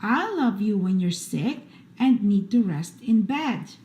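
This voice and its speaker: A woman hosting a read-aloud for children, reading picture books in an expressive, deliberate bedtime storytelling style. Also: medium pitch. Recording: roomy echo, faint background noise.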